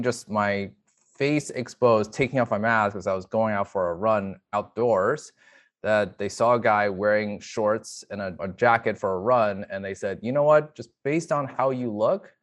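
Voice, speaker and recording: A young man speaking as an interview guest, telling a personal story in an earnest, careful, measured and reflective way. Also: medium pitch. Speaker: emergency physician, interview guest. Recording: music under the voice. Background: instrumental music.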